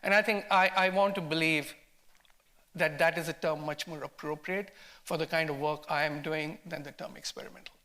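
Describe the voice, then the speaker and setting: A man's voice: fairly high pitch, steady tone, moderate pace, bright, forceful tone. A man with an Indian accent speaking as a film director in an academic discussion, emphatic and repeating words as he works out his ideas. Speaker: film director, panel guest. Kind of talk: academic post-screening discussion.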